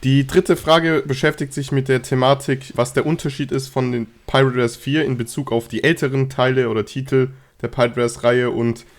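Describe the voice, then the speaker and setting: A young man with a cold and a slightly hoarse voice, chatting casually about game news straight to his viewers. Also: mid-range voice, steady tone, a little fast, few pauses. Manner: chatty, filler-heavy, addresses viewers directly. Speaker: gaming news youtuber.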